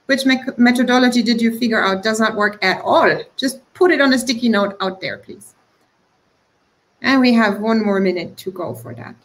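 A woman's voice: fairly high pitch, normal speed, poor audio. A woman moderating an interactive webinar over a video call, inviting the audience with questions. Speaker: workshop co-host.

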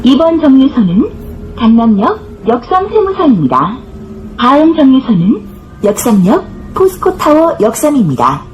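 A woman's voice: high-pitched, big pitch swings, normal speed, long pauses, over music, poor audio. A woman reading scripted bus stop announcements in a formal, carefully enunciated way, recorded inside a bus. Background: bus interior ambience.

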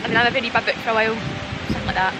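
A woman's voice: on the high side, fairly even intonation, very fast, very noisy. A young woman with a Scottish accent, vlogging casually to camera and rambling through stories with lots of fillers. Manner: chatty, rambling storytelling with frequent fillers. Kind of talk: casual vlog chat to camera. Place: outdoors at a campsite in winter, then inside a car. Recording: background music under the voice.

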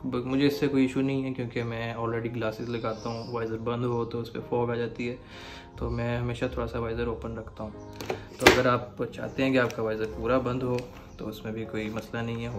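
A young man with a Pakistani Urdu accent gives a casual how-to on his motorcycle camera and mic setup, checking in often with the viewer. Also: medium pitch. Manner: explanatory, keeps asking "theek hai" to check in. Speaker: motovlogger. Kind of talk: casual gear tutorial.

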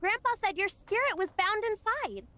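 A woman's voice: very high-pitched, sing-song, normal speed, sharp tone, heavily acted. A woman voicing a sweet, earnest young girl in a video game, polite and a little hesitant. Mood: concerned, coaxing. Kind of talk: video game character acting.

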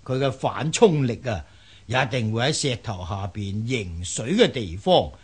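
A folksy river know-all in a radio drama. His husky voice swings widely in pitch and takes its time as he explains things with amused confidence and plenty of interjections. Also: animated, measured pace. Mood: confident explaining. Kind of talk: Cantonese radio drama acting.

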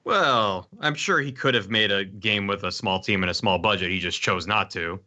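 A man's voice: lowish voice, moderate pace, sharp tone, muffled recording. A thirty-year-old man, a guest on a casual livestream chat, talking in a dry, self-deprecating way.

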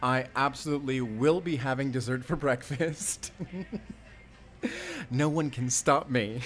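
A man's voice: mid-range voice, a little slow, fairly bright tone, lightly acted. A man acting as a friendly, chatty young dad. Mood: cheerful, playful. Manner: chatty, bashful chuckles. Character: friendly, playful single father on a date. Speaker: audio roleplay actor. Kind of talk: intimate audio roleplay acting, addressing the listener.

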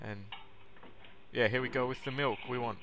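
A young man narrates a how-to in an informal, self-deprecating way. His mid-range voice stays fairly monotone. The recording is clearly noisy, with kitchen clicks around him. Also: medium pitch, moderate pace. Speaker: vlogger demonstrating how to make coffee. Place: small home kitchen, indoors.

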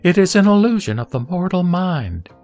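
A man playing the Buddha as a serene teacher, reciting scripture in a measured, solemn audio drama style. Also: high-pitched, big pitch swings, darkish tone. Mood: serene, instructive. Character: the Buddha, serene male teacher addressing his disciple. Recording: music behind the voice.

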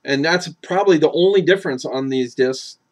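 Relaxed male co-host, halting. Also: a little high. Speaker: movie review show co-host. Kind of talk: casual review chat.

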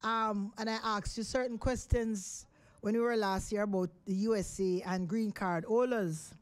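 A woman's voice: mid-range voice, fairly even intonation, moderate pace. A middle-aged woman with a Jamaican accent hosting a live radio talk show from a studio, talking in a rambling, chatty way with many fillers. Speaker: radio host. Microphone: studio microphone.

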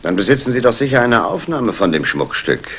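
An actor playing a polite, probing police inspector in a 1960s German radio crime play, formal and questioning. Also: male voice, medium pitch, very animated, a little fast, a bit bright, breathy edge. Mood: businesslike. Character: courteous, probing male Scotland Yard police inspector. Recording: noisy old radio play recording.

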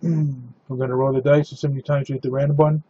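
Flat, mellow-voiced man announcing cards. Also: mid-range voice, fast talker, slightly rough, highs cut off. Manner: steady, matter-of-fact announcing with counting aloud. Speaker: card break host. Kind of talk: live card break commentary.

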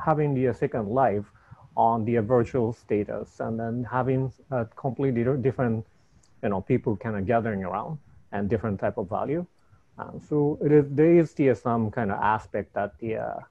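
A middle-aged man with a Japanese accent speaks informally on a panel video call, halting as he searches for words. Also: mid-range voice, warm tone. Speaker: architect, panelist. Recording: slightly dull video-call audio.

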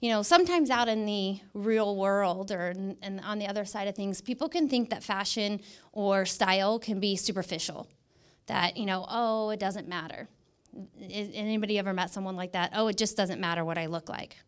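American woman, middle-aged, mid-pitched voice, emphatic motivational speaker on a handheld mic. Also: moderate pace, clean tone. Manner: emphatic, repeats words for stress. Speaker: guest speaker, image consultant. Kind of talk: motivational public talk. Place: indoor stage in a dark event room. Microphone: handheld microphone.

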